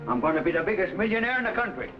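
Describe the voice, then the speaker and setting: Eager gold-struck frontier hand, folksy and excitable, raised pitch. Lo-fi vintage drama. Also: male voice, very fast. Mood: greedy excitement. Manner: rustic, excitable. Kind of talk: 1950s adventure drama acting. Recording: dull old broadcast, loud music.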